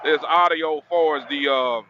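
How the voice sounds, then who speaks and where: A man's voice: fairly high pitch, unhurried, bright, forceful tone. A man with a Southern American accent, hosting a casual interview in a folksy, colloquial way over a helmet headset. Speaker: host, motorcycle vlogger. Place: riding a motorcycle outdoors. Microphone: motorcycle helmet headset. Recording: dull headset audio with vehicle noise.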